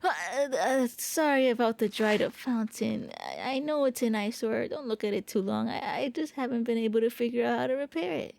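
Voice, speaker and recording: A shy, eager vampire prince voiced by a woman speaks in a mellow, fairly high voice. The delivery is gentle and intimate, a soft ASMR roleplay monologue with a hesitant, boyish courtesy. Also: big pitch swings, normal speed, creaky, hardly any pauses. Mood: apologetic, flustered. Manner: gentle, hesitant, intimate, stammering when flustered.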